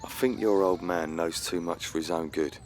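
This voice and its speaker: A man playing a calm, curt, quietly menacing older gang boss in a comic radio play. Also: low-pitched, moderate pace, close to an everyday voice.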